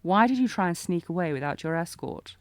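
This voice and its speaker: Low-voiced sardonic female knight bodyguard, dry close sound, acted. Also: lively intonation, fairly quick, no room sound, natural voice. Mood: insistent, tense. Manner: taunting, commanding, intense. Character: sardonic, protective female knight bodyguard, old academy rival. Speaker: audio roleplay voice performer. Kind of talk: scripted fantasy audio roleplay, acted one-sided dialogue.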